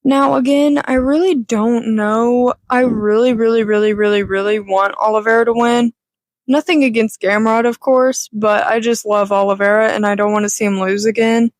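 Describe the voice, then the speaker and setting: A woman with a raspy voice giving a candid, rambling rant to her channel, swearing casually. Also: on the high side, fairly even intonation, moderate pace, no room sound. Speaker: YouTuber.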